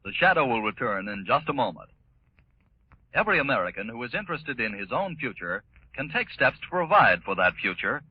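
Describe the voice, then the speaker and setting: A man announcing on 1940s radio, reading a scripted pitch in a formal, emphatic way. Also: sing-song, a little fast, breathy edge. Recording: muffled 1940s radio recording.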